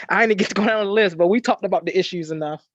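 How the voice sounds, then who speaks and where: Panelist, earnest man, high-pitched, dull lo-fi recording. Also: fast, a bit bright, no echo. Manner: earnest, hesitant with "um", addresses listeners as "family". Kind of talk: casual panel discussion.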